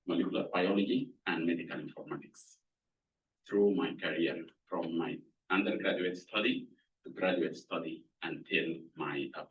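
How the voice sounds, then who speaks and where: A middle-aged man from Sudan, speaking, gives an earnest, measured lab introduction as a professor, pausing to find words. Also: deep voice, dull audio. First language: Arabic. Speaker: professor presenting his lab. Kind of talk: prepared lab introduction talk. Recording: voice somewhat far from mic.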